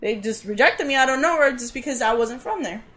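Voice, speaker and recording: Woman from cuba, slightly high voice, earnest casual storytelling, lots of uhs. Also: animated, fairly quick, fairly bright tone. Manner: earnest, talks things through with many uhs. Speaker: podcast guest.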